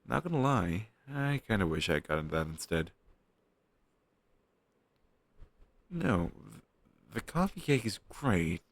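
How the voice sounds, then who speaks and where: Deep, gravelly, playful young man, acted. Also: moderate pace, no room sound, heavily acted. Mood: enthusiastic, relaxed. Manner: casual, expressive, bantering. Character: playful, teasing young man secretly fond of best friend. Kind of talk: roleplay audio drama, intimate casual chat with listener.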